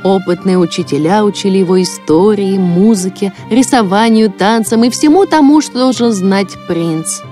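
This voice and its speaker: A woman's voice: mid-range voice, moderate pace, few pauses, warm tone. A woman reading scripted fairy-tale narration as the storyteller of a children's cartoon. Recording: music behind the voice. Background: music.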